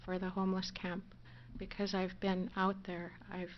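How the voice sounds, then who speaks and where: A soft-spoken woman giving a nervous, halting closing statement in a courtroom, speaking through a courtroom microphone. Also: lowish voice, completely flat delivery, lo-fi sound, a little hiss or hum. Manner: hesitant, halting with fillers and restarts. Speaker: defendant giving closing argument.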